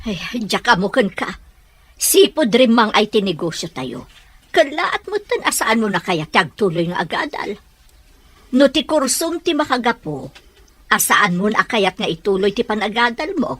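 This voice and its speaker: A middle-aged woman playing a wise, caring mother in an audio drama, talking in a gently persuasive way. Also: mid-range voice, big pitch swings, normal speed, no room sound. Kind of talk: Ilocano radio-style audio drama.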